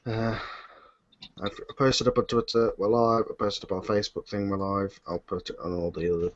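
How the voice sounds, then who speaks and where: A man's voice: on the low side, flat delivery, normal speed. A man hosting a live online Q and A in a casual, unscripted and slightly flustered, rambling way, over a video call. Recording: muffled lo-fi internet video call.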